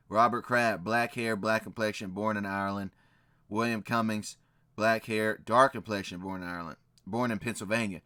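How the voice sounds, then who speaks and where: A man's voice: on the low side, pushed and bright, clear, resonant tone, dry recording, studio quality. A man hosting a reaction video, reading old records and scripture aloud in casual commentary, emphatic and repeating key phrases with frequent "right?". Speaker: channel host reacting to a video.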